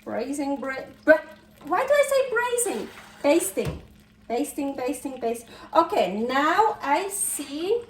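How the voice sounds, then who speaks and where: A woman's voice: high voice, very animated, slow, echoey room, far from the mic, slight background noise. A woman from Switzerland with a Swiss German accent, chatting her way through a home cooking video and often correcting herself. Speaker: cooking channel host. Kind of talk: casual cooking vlog. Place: home kitchen.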